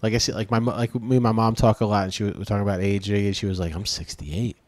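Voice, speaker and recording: A man's voice: fairly low pitch, steady tone, fast talker, no room sound. Man in his forties, dry close sound. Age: 40s. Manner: earnest, hesitant, filler-heavy. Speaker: comedian and podcast co-host. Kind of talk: casual chat.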